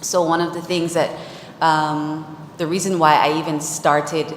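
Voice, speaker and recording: A woman's voice: low-pitched, level tone, unhurried. A woman, a vlogger and DJ, speaking openly and personally on a panel with many fillers. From Jamaica. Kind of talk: panel discussion. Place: large conference hall on stage. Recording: echoey hall with faint noise.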